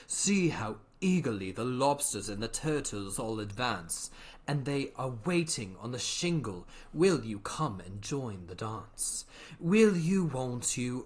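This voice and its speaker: Someone voicing a slow, swooping, melancholy storybook turtle. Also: medium pitch, a little mellow. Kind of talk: theatrical storybook reading with slow sung verse.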